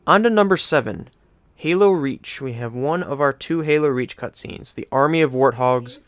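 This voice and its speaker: A man's voice: mid-range voice, moderate pace, no echo. A young adult man hosting a video game countdown, talking in an informal, rambling, conversational way. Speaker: video game list host. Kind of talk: casual countdown commentary.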